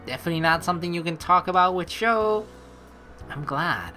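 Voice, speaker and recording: A woman doing a let's play, with a low voice and lively, swooping intonation. She narrates on-screen text and slips into playful commentary over background game music. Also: a little fast. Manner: playful, casual, teasing asides.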